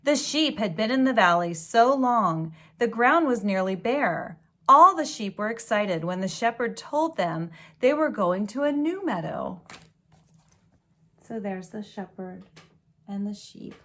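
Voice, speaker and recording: A woman reading a children's storybook aloud like a teacher, with expressive delivery and gentle asides. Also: mid-range voice. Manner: slow, deliberate, expressive storytelling.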